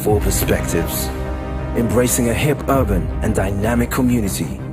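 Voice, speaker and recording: A man's voice: on the low side, normal speed. A man announcing a TV promo voice-over in a punchy, slogan-like delivery. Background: loud music.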